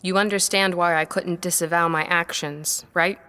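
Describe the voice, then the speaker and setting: A woman playing a defiant, earnest scholar in an audio drama, speaking in an articulate, deliberate way over music. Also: fairly low pitch, steady tone, sharp tone, clean tone. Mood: subdued, regretful. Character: defiant, earnest female scholar-historian on trial. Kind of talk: scripted audio drama acting. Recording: music behind the voice.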